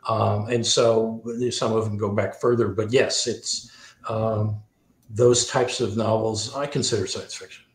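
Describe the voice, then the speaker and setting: A man with a fairly low voice talks as a guest author in an interview. He is discursive and halting, circling back to rephrase, and the sound has a strong room echo. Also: fairly even intonation. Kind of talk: thoughtful interview conversation. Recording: roomy, echoing sound.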